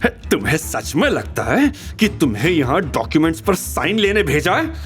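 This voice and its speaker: A man's voice: fairly high pitch, sing-song, moderate pace, pitched above the performer's own voice. A man playing a rich, arrogant, lecherous villain in an audio drama, leering and condescending with a short temper. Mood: cruel, gloating. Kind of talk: Hindi audio drama dialogue. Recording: faint music behind.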